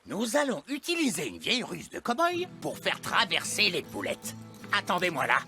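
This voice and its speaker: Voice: medium pitch, wildly swooping pitch, normal speed, gravelly, bright, forceful tone, soft background music, exaggerated voice. An actor voicing an old elf who plays a bossy, self-assured trail cowboy in a French children's cartoon. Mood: instructive, self-assured. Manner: emphatic, instructive, self-assured.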